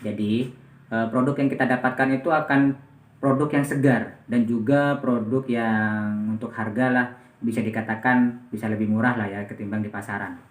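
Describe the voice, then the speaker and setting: A man's voice: mid-range voice, fairly even intonation, normal speed. A young man from Indonesia, a student presenting a business plan, talking hesitantly with many fillers. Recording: echoey room, mic at a distance.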